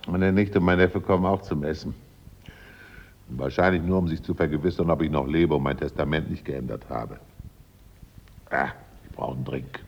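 A man's voice: a bass voice, moderate pace, vocal fry, pauses often, highs cut off, a little hiss or hum. An actor in a German radio drama playing a bluff, hard-drinking, rich older man, jovial and stubbornly insistent. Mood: jovially insistent.

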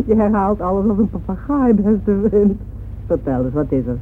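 An actress playing a wealthy, busy widow with a dry, dismissive, imperious manner in a radio drama. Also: female voice, medium pitch, big pitch swings, normal speed, slight background noise, close to an everyday voice. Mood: dry, mocking. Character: brisk, haughty, busy wealthy widow, mildly mocking. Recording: tinny narrow-band old radio recording, music behind.

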